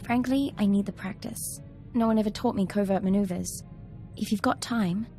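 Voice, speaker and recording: A young female ensign acted by a woman in a sci-fi game, with a mid-pitched voice. She sounds eager and respectful, formal in military fashion but earnest and personable. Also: normal speed, mellow, faint music behind, natural voice. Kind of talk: video game cutscene acting.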